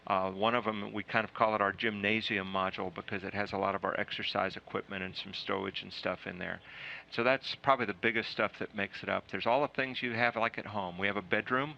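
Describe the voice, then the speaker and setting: A man, an astronaut, answering questions in a casual explaining style, halting with many fillers and restarts, on muffled lo-fi broadcast audio. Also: fairly low pitch, steady tone, gravelly, bright, forceful tone, slight background noise. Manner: halting, lots of uh and repeated starts.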